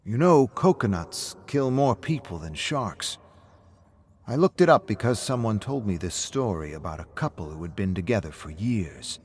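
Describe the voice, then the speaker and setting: A man's voice: fairly low pitch, sing-song, normal speed. A man voicing a reflective, talkative male friend who rambles philosophically in a story reading. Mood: animated storytelling. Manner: rambling, philosophical small talk.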